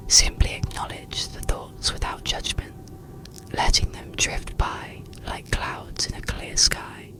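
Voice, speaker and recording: A narrator whispering a bedtime story and guided relaxation in a slow, gentle, soothing ASMR style. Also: low-quality recording.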